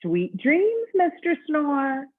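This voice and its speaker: A woman's voice: sits high, wildly swooping pitch, slow pace, voice pushed up, full character voice. A woman voicing a polite, accommodating male hotel bellhop in a storybook reading, with a courteous sing-song delivery on tinny phone-like audio.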